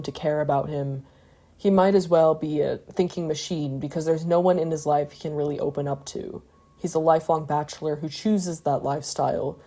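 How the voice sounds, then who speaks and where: Low, mellow-voiced woman essayist. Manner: reflective, analytical, with casual asides. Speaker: video essayist. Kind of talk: scripted video essay narration.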